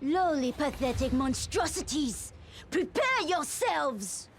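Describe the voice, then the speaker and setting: A woman's voice: high voice, sing-song, takes it slowly, very noisy, heavily acted. A woman voicing a haughty, threatening villain in a video game, imperious and scornful.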